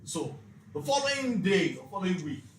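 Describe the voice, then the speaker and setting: A man's voice: high voice, big pitch swings, moderate pace. A man giving a motivational, faith-based teaching talk in an emphatic, preacherly manner, with rhetorical questions. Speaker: speaker leading a financial literacy leadership session. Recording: echoey room, distant mic, clear background noise.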